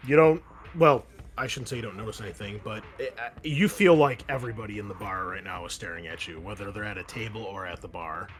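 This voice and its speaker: A man running a tabletop roleplaying game as game master, narrating off the cuff in a halting, conversational way. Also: lowish voice. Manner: halting, conversational, thinking aloud. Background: background music.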